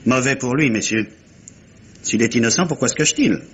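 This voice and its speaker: An actor playing a heavy-set, blustering, dogged police inspector in a 1950s-style French radio mystery, gruff and husky, with emphatic clipped declarations. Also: male voice, mid-range voice, a little fast, lightly acted. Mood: blustering, urgent. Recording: dull vintage radio sound.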